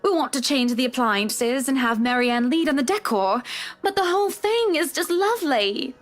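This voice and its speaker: A woman's voice: high voice, animated, normal speed. A woman playing a bossy, genteel wealthy wife in a visual novel game, speaking in a prim, refined way. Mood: gracious.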